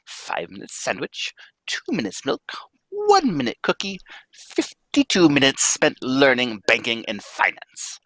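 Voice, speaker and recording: A man's voice: mid-range voice, huge pitch swings, a little slow, fairly bright tone, dry recording, heavily acted, poor audio. A man reading the part of a stern, pompous bank president in a live radio-play reading, lecturing emphatically. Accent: American. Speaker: live script reader.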